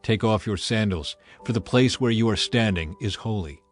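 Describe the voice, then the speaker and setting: A man's voice: on the low side, moderate pace, natural voice. Male narrator as heavenly army commander for scripture drama: stern, authoritative, music underneath. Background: background music.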